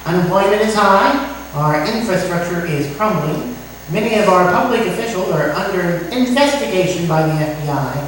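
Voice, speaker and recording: A woman playing a self-important male talk show host obsessed with birds, pompous and deliberate, in old-time radio comedy style. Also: lowish voice, animated, natural voice. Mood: officious welcome. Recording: echoey room, distant mic, faint noise.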